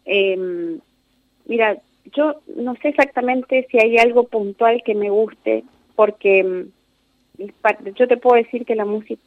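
A fifty-year-old woman with an Argentine Spanish accent, answering interview questions reflectively in measured, conversational phrases. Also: a little high, no echo. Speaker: interview guest, singer and singing teacher. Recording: narrow tinny phone-like line.

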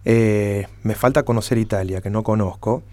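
A man's voice: on the low side, fairly even intonation, normal speed, mellow. A middle-aged man with a Buenos Aires Spanish accent, chatting and reminiscing as a musician being interviewed. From Argentina (Buenos Aires area). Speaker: interview guest, flamenco musician. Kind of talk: casual conversational interview.

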